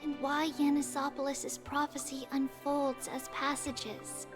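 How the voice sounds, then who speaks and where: A woman voicing a gentle, solemn young girl prophet in a game cutscene. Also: sits high, flat delivery, measured pace. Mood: gentle, reflective. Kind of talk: scripted game cutscene dialogue. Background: orchestral music.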